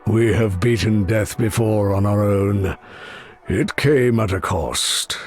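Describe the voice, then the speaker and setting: An actor playing a proud, threatening mutant master of magnetism in a video game, speaking in a grave, imperious, formal way. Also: male voice, fairly low pitch, slow pace, a little mellow, faint music behind. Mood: grave threat. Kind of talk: video game character voice lines.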